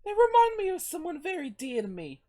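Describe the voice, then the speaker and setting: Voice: very high voice, huge pitch swings, fairly quick, dark tone, a little hiss or hum, voice pushed up, full character voice. A performer voicing a polite, theatrical comic traveller who speaks in an ornate, genteel manner. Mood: urgent, courteous. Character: polite, flowery, theatrical traveller pleading for help.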